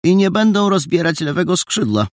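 A man reading an audiobook and voicing a fussy, worried older woman housekeeper in a raised, exclaiming voice with a husky, creaky edge. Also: big pitch swings, fairly quick, dry recording, studio quality. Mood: fretful. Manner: exclaiming, anxious, high and scolding.

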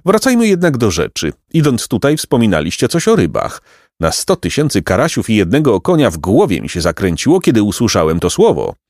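A man voicing a vain, pompous, aristocratic pelican with a shrill squawking voice for a storybook. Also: wildly swooping pitch, fairly quick, darkish tone, no room sound, clean studio sound, heavily acted. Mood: pompous lament. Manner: pompous, haughty, florid exclamations. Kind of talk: storybook character voice.

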